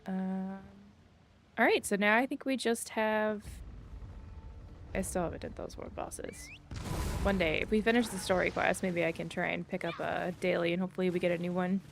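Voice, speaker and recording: A woman's voice: lowish voice, steady tone, moderate pace, vocal fry, a little hiss or hum. A woman game streamer giving casual live gameplay commentary and chatting with viewers. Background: game music.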